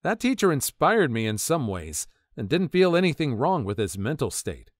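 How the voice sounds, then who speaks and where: Male narrator for story readings, mid pitch, very lively swings, dry close voice. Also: normal speed, no room sound. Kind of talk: scripted narration of online stories.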